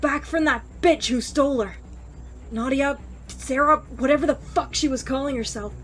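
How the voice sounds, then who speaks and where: High female voice, actress as bitter young rebel, scornful, sarcastic, biting, audio drama, faint background noise. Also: normal speed, a bit bright. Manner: scornful, foul-mouthed, biting. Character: defiant, sarcastic, injured young woman, single-minded and bitter. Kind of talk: audio drama acting, anime fan parody.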